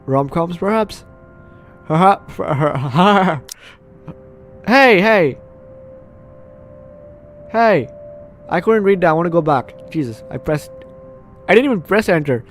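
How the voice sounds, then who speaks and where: A gaming host giving casual, animated playthrough commentary and reading game text aloud, with guitar music behind. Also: mid-range voice, takes it slowly, pauses often. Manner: animated, chatty reactions to the game.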